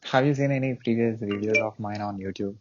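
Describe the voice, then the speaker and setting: A man hosting a casual online interview, speaking haltingly with frequent restarts and "uh"s. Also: lowish voice, highs cut off, slight background noise. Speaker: interview show host.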